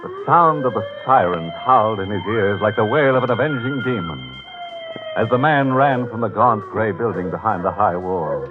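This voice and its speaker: Theatrical, ghoulish old-time radio horror host. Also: male voice, on the low side. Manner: theatrical, drawn-out, macabre deadpan humor. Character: ghoulish horror host, mock-friendly man relishing morbid puns. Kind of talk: 1940s radio horror hosting and scripted narration. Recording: tinny old-time radio recording with music.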